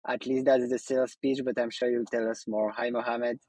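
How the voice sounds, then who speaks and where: Brief: male interview host, mid-range voice, halting with fillers, dry close sound. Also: medium pitch, flat delivery, darkish tone, no room sound. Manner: hesitant, many "uh" fillers and restarts. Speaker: podcast host. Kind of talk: hosting an interview.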